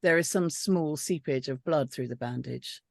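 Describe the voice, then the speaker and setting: A woman narrates stage directions from a script. Her pitch is a little low for a woman. The recording is very dry, with hardly any room sound. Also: normal speed, gravelly, no echo. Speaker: script panel reader reading stage directions.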